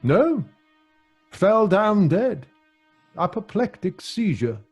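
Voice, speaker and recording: An actor with a British accent playing a middle-aged father who tells grim tales in a measured, genial way for an old-fashioned radio drama. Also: high voice, big pitch swings, a little mellow, husky, faint music behind, heavily acted. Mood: grave storytelling. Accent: British English.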